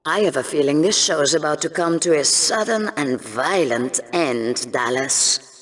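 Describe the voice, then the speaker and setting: An actress playing a sardonic, bloodthirsty co-commentator on a sci-fi bloodsport broadcast in a video game, theatrical and mocking. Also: female voice, deep voice, expressive, unhurried. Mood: gleefully ominous. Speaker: analyst co-commentator. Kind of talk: sports broadcast banter, video game acting.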